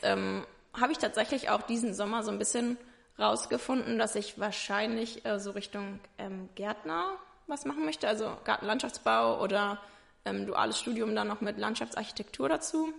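A woman's voice: fairly high pitch, gravelly, fairly bright tone. A woman in her twenties talking about her own life in an interview, hesitant and searching for words, with many fillers. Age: 20s. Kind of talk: personal conversation.

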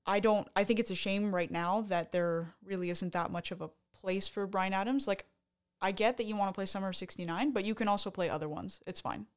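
A woman's voice: mid-range voice, fairly quick. Chatty canadian woman co-host. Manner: excited, chatty, self-interrupting. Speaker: podcast co-host. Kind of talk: casual chat.

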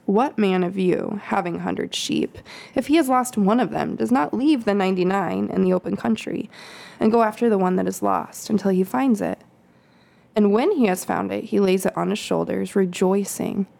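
A woman who serves as a children's pastor leads an informal Bible study on a podcast. She talks in a relaxed, rambling way, with ums and little laughs. Her voice has a noticeable creak. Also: medium pitch, normal speed. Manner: chatty, laughing asides, frequent ums. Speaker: kids' pastor.

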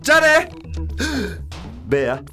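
An actor playing a flighty, flippant business consultant in a comedic radio drama, with a cutesy, chatty and breezy manner. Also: male voice, very high voice, huge pitch swings, unhurried, sharp tone, faint music behind, exaggerated voice.

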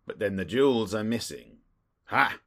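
A man performs a stout, middle-aged male detective from a storybook, husky and wheezy. He blusters along in short, clipped bursts, full of his own importance, with the pitch jumping widely. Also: animated, moderate pace, fairly bright tone. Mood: pompous, musing. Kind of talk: audiobook character voice.

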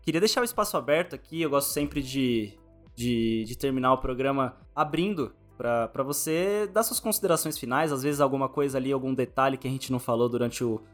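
A man with a Brazilian accent hosts a podcast in a relaxed interview style. His voice sits at an average pitch for a man. Faint music plays underneath. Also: a little fast. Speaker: podcast host. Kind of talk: casual interview chat.

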